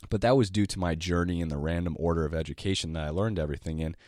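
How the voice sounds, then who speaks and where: Very deep-voiced sick man hosting a podcast. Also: racing, a little mellow, no echo, studio quality. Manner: rambling and eager, with many restarts and occasional coughs. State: sick, voice lower than usual. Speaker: podcast host and jazz piano teacher. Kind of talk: casual solo podcast monologue.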